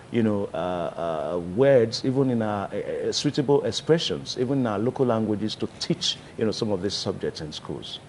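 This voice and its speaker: A man with a Nigerian accent hosting a studio discussion, asking long, measured questions with many fillers. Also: mid-range voice, a little mellow, slight background noise. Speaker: talk show host.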